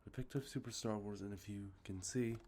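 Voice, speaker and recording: A man with a congested, sniffly nose, talking softly and rambling, with many fillers, as an ASMR host showing off games he collected. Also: lowish voice, steady tone, fast, a little hiss or hum. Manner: rambling, many ums and uhs, self-correcting. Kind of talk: soft-spoken show-and-tell about collected video games. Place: outdoors with traffic nearby.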